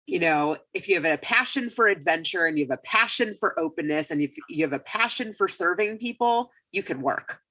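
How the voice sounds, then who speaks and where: A woman with a raspy voice from a lingering cold, talking as a podcast guest. Her voice sits low, but her tone is bright and forceful. She sounds upbeat and leans hard on her points. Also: lively intonation, fairly quick, hardly any pauses. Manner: upbeat and emphatic. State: getting over a cold. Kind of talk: casual interview talk.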